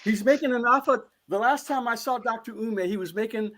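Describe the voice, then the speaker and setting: A man's voice: very high-pitched, a little fast, fairly bright tone. A man speaking as a panelist in a live discussion, earnest and emphatic, often repeating a phrase as he builds toward his point.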